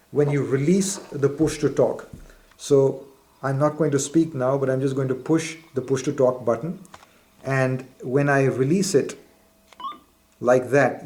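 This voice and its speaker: A man's voice: medium pitch, mellow. A man presenting an unscripted instructional walkthrough as a product demonstrator, explaining methodically in fairly formal wording.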